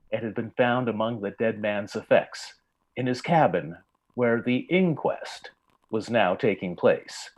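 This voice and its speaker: Male narrator, medium pitch, formal, measured, literary storytelling, old-time radio style. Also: a little mellow, low-quality recording.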